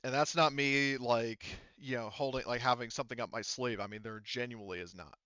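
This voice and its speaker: A man running a tabletop roleplaying game as game master, explaining casually and often pausing to think aloud. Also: mid-range voice, fairly even intonation, sharp tone, clean tone, no room sound, lo-fi sound.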